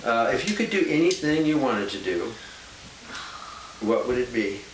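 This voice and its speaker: A man gently asking a young girl questions in a home video interview, coaxing her along with small restarts. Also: medium pitch, very animated, moderate pace. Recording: echoey, distant, hissy 1990s home video.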